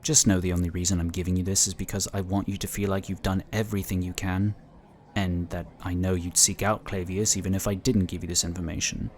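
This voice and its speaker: A man acts out a male inquisitor from a sci-fi audio drama. His voice sits on the low side. He speaks in measured, articulate phrases with dry understatement and a cautious, protective streak. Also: little pitch movement, a little mellow, slight background noise, natural voice. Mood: concerned, cautioning.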